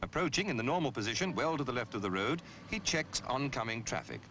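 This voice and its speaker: A man reading scripted public information film narration in a measured, instructive, emphatic way, on an old film soundtrack. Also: medium pitch, animated, sharp tone, slight background noise. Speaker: narrator of a road safety film.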